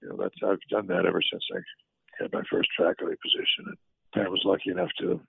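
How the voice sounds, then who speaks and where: A man's voice: sits low, wildly swooping pitch, fast, creaky, slightly rough, no echo. An older man from Texas telling stories in an interview, folksy and rambling, with many fillers and restarts, heard over a tinny phone-like line. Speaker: guest scientist. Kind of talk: casual storytelling in an interview.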